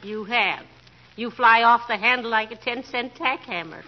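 An actress playing a patient, gently teasing middle-aged wife with homey sayings in 1940s radio comedy style. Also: female voice, a little high, moderate pace, natural voice. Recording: muffled old radio recording with steady hiss.

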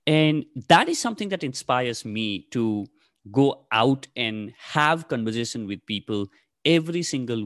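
A man's voice: medium pitch, fairly bright tone, dry recording, clean studio sound. A man in his thirties from Nepal, whose first language is Nepali, answering interview questions casually with many fillers and restarts. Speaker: podcast host, guest here.

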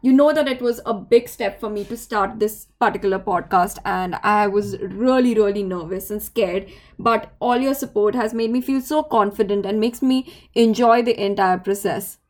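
A woman's voice: medium pitch, normal speed, darkish tone. A woman hosting her podcast, reading a grateful intro and then asking questions. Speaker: podcast host. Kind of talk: scripted intro, then interview.

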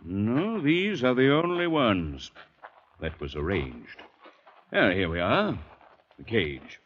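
An actor playing a suave, callous, wealthy middle-aged patriarch with a pompous, urbane manner in a 1940s radio drama style. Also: male voice, on the low side, big pitch swings, slow pace, slight background noise. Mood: haughty, blasé. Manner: pompous, urbane, matter-of-fact about cruelty. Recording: muffled vintage radio drama recording.

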